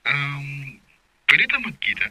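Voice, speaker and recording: A man's voice: mid-range voice, sing-song, heavy background noise. A man with a Cebuano accent playing an earnest, shy young suitor who is smitten, in a radio romance drama. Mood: shy, eager. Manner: earnest, halting, courting.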